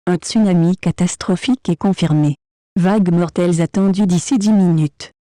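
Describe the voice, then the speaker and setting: Mellow, dark-toned female alert announcer. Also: lowish voice, fairly quick, dry recording, studio quality. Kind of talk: scripted emergency broadcast announcement.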